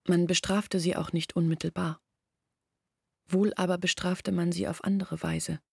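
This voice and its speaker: Low, husky, earnest village woman. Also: little pitch movement, measured pace, a little mellow, dry recording, clean studio sound, heavily acted. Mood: grave, insistent. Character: earnest village woman arguing her family's disgrace, insistent, bitter. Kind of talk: German radio drama acting.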